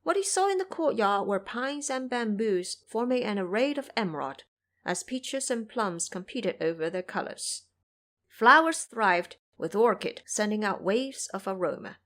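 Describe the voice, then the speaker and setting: A woman reads a classic fantasy tale in a measured, scripted narration. Her voice sits at a middle pitch and moves steadily through long descriptive passages. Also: very animated, fairly bright tone.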